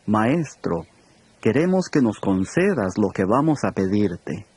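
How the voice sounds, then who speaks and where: An actor playing an ambitious male disciple with a husky voice, asking for favors. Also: lowish voice, very animated, slow pace, darkish tone, full character voice.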